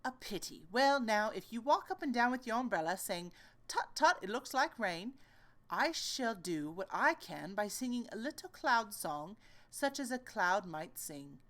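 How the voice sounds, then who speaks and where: A woman voicing an earnest, naive male toy bear who schemes in a deliberate, exclamatory way for a storybook. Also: fairly high pitch, very animated, fairly bright tone, voice pushed up. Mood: earnest scheming.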